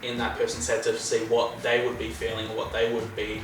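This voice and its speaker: A man's voice: fairly low pitch, completely flat delivery, brisk, faint music behind. A man hosting an interview podcast, chatting casually and enthusiastically, often calling things incredible. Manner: enthusiastic, keeps saying "incredible". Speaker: podcast host. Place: room in a home. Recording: very echoey room, mic at a distance.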